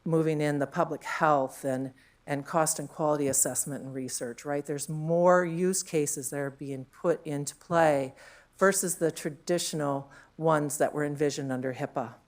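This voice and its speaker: A woman with a deep, low voice talks to a conference room through a handheld mic. She is chatty and loose, with frequent fillers and questions tagged onto her points. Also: fairly even intonation, normal speed. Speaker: conference panel speaker. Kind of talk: conference panel talk.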